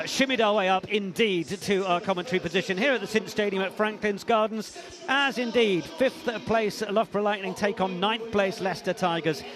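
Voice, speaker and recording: A man presenting live rugby coverage from a stadium, chatting easily with his guests. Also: high voice. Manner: chatty, warm-hearted banter with guests. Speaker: sports presenter. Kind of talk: live sports broadcast presenting. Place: rugby stadium, then a commentary box.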